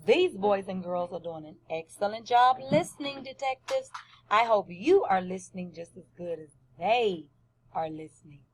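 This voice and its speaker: A woman teaching first graders through a read-aloud, speaking deliberately and with emphasis, often questioning the children. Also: mid-range voice, expressive, darkish tone.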